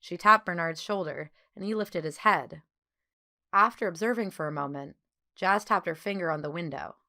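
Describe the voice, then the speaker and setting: A woman reading a children's story as an audiobook narrator, with clear phrasing and expressive delivery. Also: fairly low pitch, normal speed.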